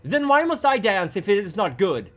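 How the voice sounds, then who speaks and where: Young female captive , done by male narrator, uncertain, trembling, pitched up and bright. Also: big pitch swings, normal speed, faint music behind. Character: young woman captive, frightened yet defiant questioner.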